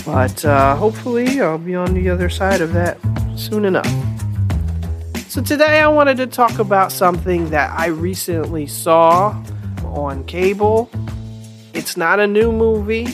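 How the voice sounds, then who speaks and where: A woman's voice: lowish voice, expressive, a little slow, music in the background. Stuffy-voiced woman hosting a chatty podcast. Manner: chatty, emphatic, repeats herself. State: stuffy from a cold. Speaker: podcast host. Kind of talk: casual opinion podcast monologue.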